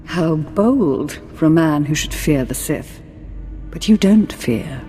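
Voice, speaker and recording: An actress playing a haughty, seductive female Sith lord in a video game, deliberate and sultry. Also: low-pitched, lively intonation, dark tone. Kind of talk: dramatic video game dialogue. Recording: long reverb, music behind.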